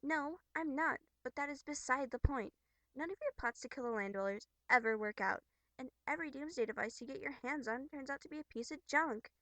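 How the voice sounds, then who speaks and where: A woman voicing a bubbly, earnest young troll girl from a webcomic, scolding but caring, with theatrical, expressive delivery. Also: high voice, brisk, no room sound. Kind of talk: amateur character voice acting from a webcomic.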